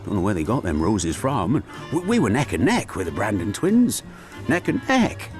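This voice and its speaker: An actor playing a warm, nostalgic older British man telling stories in a chatty, wistful way in a naturalistic radio drama. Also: fairly low pitch, huge pitch swings, moderate pace, faint music behind. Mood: excited reminiscing. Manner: chatty, chuckling, wistful reminiscing.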